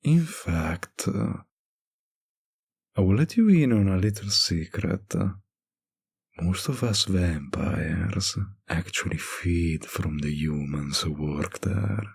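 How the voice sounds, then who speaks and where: Man playing a slow, gravelly, teasing vampire bartender. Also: low voice, lively intonation, full character voice. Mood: curious, teasing. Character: suave teasing male vampire bartender, world-weary, centuries old. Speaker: audio roleplay voice actor. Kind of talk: intimate scripted roleplay addressed to the listener.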